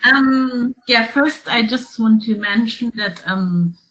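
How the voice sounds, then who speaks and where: A woman's voice: fairly high pitch, slow pace, lo-fi sound, background noise. A woman from Germany with a German accent, a local tour supplier presenting a trip itinerary with many hesitant fillers.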